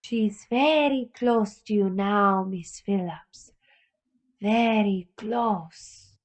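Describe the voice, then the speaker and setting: Woman playing a slow, grandiose phony psychic. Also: mid-range voice. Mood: lofty, pious. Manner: grandiose, slow, mystical delivery. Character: phony female psychic, theatrical and solemn, easily irritated. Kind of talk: comedy audio drama acting.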